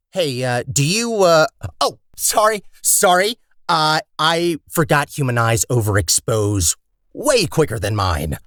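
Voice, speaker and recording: Animated, chatty little robot sphere. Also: mid-range voice, no room sound, studio quality. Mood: nervous, helpful. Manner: animated, expressive, conversational, pleading and wry. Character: chatty, nervous, eager talking robot personality sphere. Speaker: game character, maintenance robot. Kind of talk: video game character voice lines.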